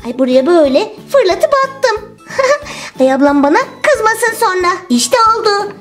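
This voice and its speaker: A woman's voice: very high voice, sing-song, a little slow, soft background music, full character voice. A woman voicing an eager, whiny little girl who pleads and complains in a children's toy story. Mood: busy, impatient.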